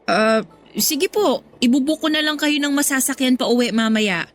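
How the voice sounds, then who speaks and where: A woman's voice: high voice, normal speed, a little hiss or hum, close to an everyday voice. A woman playing a patient, reluctant young goddaughter with a Filipino accent, speaking respectfully and with restraint in a radio drama. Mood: polite, reluctant. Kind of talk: Tagalog radio drama acting. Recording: music behind the voice.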